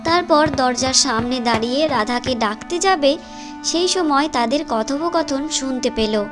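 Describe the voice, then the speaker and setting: A woman's voice: sits high, measured pace, music in the background. A woman narrator reading a scripted story in a storytelling style.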